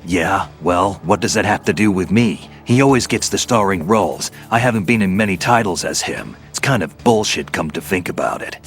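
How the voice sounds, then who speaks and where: Guy doing grumpy old-hand soldier spy from a video game, lowish and curt, pitch moves around a lot. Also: expressive, normal speed, few pauses, soft background music. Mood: irritated, grumbling. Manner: curt, grumbling. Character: gruff, grumbling, impatient veteran male soldier spy. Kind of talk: scripted fan skit of a spy video game.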